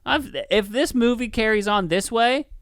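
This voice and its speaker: A man's voice: very high voice, moderate pace, fairly bright tone, clear, resonant tone, dry recording. Casual, slangy man with clean, dry sound. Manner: slangy, profane, riffs in mock quotes. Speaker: podcast co-host. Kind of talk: casual movie review chat.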